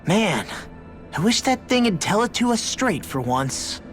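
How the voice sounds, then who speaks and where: A plain-spoken young adventurer talks casually in a highish, animated voice. He is informal and questioning, in game cutscene style over faint music. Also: a little slow. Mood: exasperated. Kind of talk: scripted video game cutscene acting.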